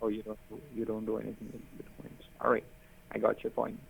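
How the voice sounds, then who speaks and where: A man's voice: lowish voice, monotone, fast, vocal fry, slight background noise. A man running a scam call, insistent and repetitive, with broken phrasing. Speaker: phone scammer. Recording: tinny phone line.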